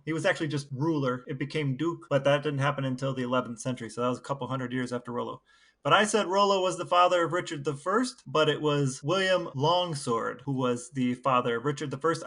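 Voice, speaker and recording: A man's voice: on the high side, a bit bright. A man co-hosting a conversational podcast, speaking in a dry, mock-earnest way. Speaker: podcast co-host.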